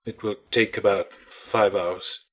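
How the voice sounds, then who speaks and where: A man voicing a stern, reluctant gentleman scientist in a dramatic audiobook, cold, curt and controlled. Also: lowish voice, fairly even intonation, slow, slight background noise.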